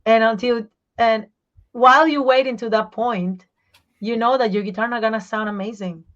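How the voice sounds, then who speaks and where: A woman voice teacher and singer, talking in a casual interview, animated and explaining with examples, sometimes searching for her words in English. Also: on the high side, normal speed, dull audio. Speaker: voice teacher and singer, podcast guest.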